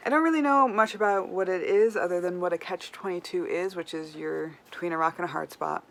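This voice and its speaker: A woman chatting casually to viewers as a book vlogger, with animated, self-deprecating asides. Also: lowish voice, fairly quick. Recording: clear background room noise.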